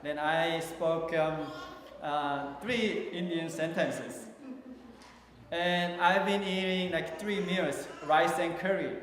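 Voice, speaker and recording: Korean-accented male pastor, slow, halting sermon delivery, echoey hall sound. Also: a little high, steady tone, fairly bright tone, slight background noise. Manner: slow, halting, with many fillers and restarts. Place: large church hall.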